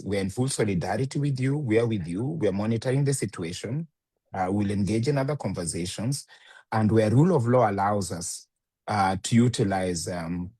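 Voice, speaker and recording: A man speaking for a lawyers' union at a press conference, measured and formal, with many pauses on "uh". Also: low-pitched, a little mellow, breathy edge, no room sound.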